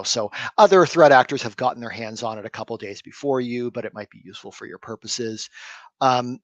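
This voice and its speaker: A man's voice: medium pitch, fairly bright tone, no echo. A man on a panel answering a question in a rambling, hedging way full of fillers. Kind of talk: casual panel discussion.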